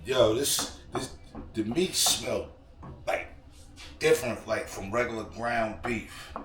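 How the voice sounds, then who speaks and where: A man with a New York accent and a slightly hoarse, froggy voice, chatting casually to viewers while he cooks in his home kitchen. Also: fairly low pitch, lively intonation, slow pace, fairly bright tone. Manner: laid-back slangy chatter to viewers. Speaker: home cooking vlogger. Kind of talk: casual cooking vlog talk. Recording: echoey room with background noise.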